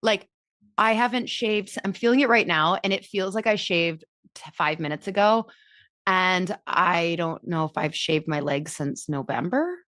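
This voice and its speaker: A woman's voice: lowish voice, unhurried, fairly bright tone, dry recording, clean studio sound. A woman in her thirties from Southern California hosting a podcast, chatting casually with frequent laughs and fillers. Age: 30s. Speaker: podcast host. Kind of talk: casual conversational interview.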